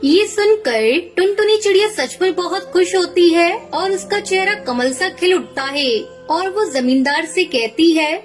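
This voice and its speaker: A woman narrates a scripted children's tale. Her voice is extremely high. Faint music plays behind her. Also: expressive, a little slow, hardly any pauses. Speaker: story narrator.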